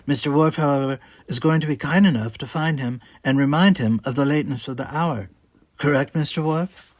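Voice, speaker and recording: A man voicing a composed, diplomatic officer who talks in a measured, formal, pointed way, in an audiobook. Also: fairly high pitch, no echo, pitched above the performer's own voice, close to an everyday voice. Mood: firm, diplomatic.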